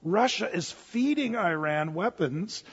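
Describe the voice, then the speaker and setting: Guy, high voice, earnest rambly preaching, lots of uhs, long hall echo. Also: expressive, slow pace, husky. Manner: earnest, rambling, many fillers and restarts. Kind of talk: informal preaching talk. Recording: dull lo-fi sound with long hall reverb.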